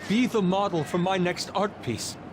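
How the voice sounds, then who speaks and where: A man's voice: sits high, normal speed, noisy background, lightly acted. A man playing an earnest, intense teenage art student with a formal manner in an anime game. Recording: loud music behind.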